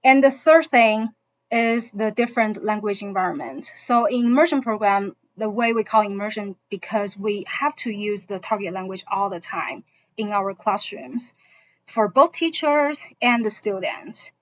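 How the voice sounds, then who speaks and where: A woman from China, a native Mandarin speaker with a Mandarin accent, explaining a school program to parents as a teacher in halting English. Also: mid-range voice, no room sound. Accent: Mandarin Chinese. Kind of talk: informational presentation to parents. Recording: dull, muffled online-session audio.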